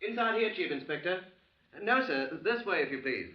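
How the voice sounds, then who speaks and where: Deferential young British detective sergeant, proper, eager, lively intonation, lo-fi vintage radio drama. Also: male voice, high-pitched, moderate pace, breathy edge. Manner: obsequious, very proper, eager to please. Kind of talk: 1950s radio drama acting. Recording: dull lo-fi old radio recording.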